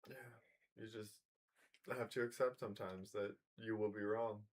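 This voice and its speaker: A man in his thirties co-hosting a casual chat, dry and teasing in a low-key way. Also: fairly even intonation, normal speed. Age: 30s. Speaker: podcast co-host.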